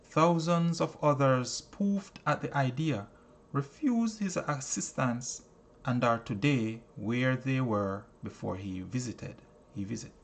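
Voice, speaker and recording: A man narrating a self-help book in a solemn, deliberate, instructive way. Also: medium pitch, lively intonation. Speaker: audiobook narrator.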